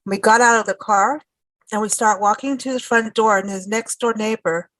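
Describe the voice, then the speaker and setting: A woman's voice: medium pitch, moderate pace, a bit bright, no room sound, poor audio. A woman with an American accent hosting a radio interview, chatting loosely and circling back on her sentences. Her voice sits in a middle range and sounds dry and close, with no room around it. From South Africa. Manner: chatty, rambling, frequent restarts and laughs. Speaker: radio show host. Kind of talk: casual conversational interview.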